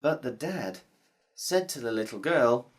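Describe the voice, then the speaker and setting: A woman telling a folk tale to children, playful and expressive. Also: rumbling low. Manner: expressive, playful, drawn-out repetitions. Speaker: storyteller. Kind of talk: storytelling for children.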